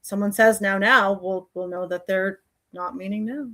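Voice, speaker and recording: A woman's voice: medium pitch, fairly even intonation, normal speed, sharp tone. A woman chatting casually and playfully as a livestream host.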